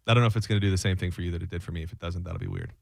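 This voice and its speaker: A man's voice: sits low, racing, gravelly, dry recording. Male radio host, dry studio sound. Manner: joking, rambling, conspiratorial. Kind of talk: casual radio banter. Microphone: studio microphone.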